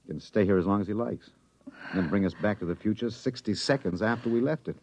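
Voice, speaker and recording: An actor playing a tense, hunted husband on the run in a 1950s radio drama, urgent and protective. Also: male voice, lowish voice, fast talker, darkish tone, muffled recording, a little hiss or hum, lightly acted. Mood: grim, anxious. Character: tense, hunted husband, a fugitive time traveler.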